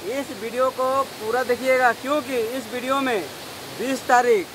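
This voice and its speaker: A man from joining a friend's vlog, speaking excitedly, stumbling over his words and apologizing. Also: extremely high pitch, moderate pace. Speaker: vlogger's friend. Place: outdoors by a waterfall. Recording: loud hiss of falling water.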